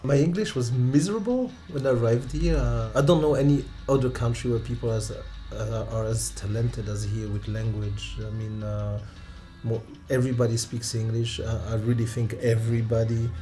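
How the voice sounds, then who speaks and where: A man in his thirties with a Romanian accent, a non-native English speaker, telling stories from his own life in an interview, halting and restarting often and laughing along. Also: mid-range voice, steady tone, warm tone, faint music behind. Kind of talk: relaxed personal storytelling.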